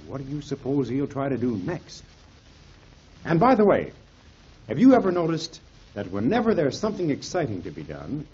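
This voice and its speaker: A man's voice: medium pitch, breathy edge. A man announcing a 1930s children's radio serial, chatty and persuasive as he talks to young listeners. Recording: dull, muffled 1930s radio recording.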